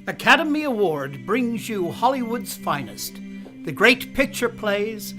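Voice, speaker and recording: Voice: mid-range voice, big pitch swings, a little slow. A performer playing a grand old-time radio announcer, formal and emphatic, introducing a broadcast drama. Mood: grand, ceremonial. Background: music.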